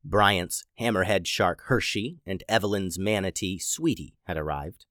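A man's voice: sits low, a little slow, a bit bright, no echo, clean studio sound. A man hosting and narrating a children's story podcast in a playful, silly manner, his voice a little scratchy.

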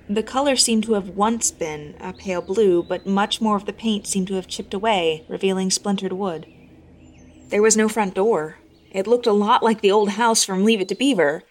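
Woman, horror story narrator, mid-range voice, measured, expressive, first-person fiction. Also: medium pitch, a little hiss or hum.

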